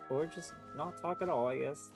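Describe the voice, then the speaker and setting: Casual male game streamer over clear music. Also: a little high, moderate pace. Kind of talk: casual live game commentary.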